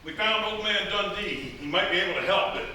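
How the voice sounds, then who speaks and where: A man's voice: high voice, fairly quick, pushed and bright. A man playing a folksy, plain-thinking sheriff with a hesitant, matter-of-fact manner in a live comic radio play. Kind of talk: live radio theatre comedy acting. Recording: echoey theatre, distant mic, faint noise.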